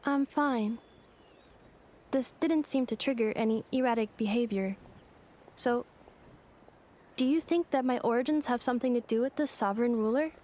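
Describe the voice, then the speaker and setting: A woman's voice: a little high, normal speed, pauses often, darkish tone, a little hiss or hum, close to an everyday voice. An actress voicing a polite, earnest young female android in a video game, speaking formally and matter-of-factly.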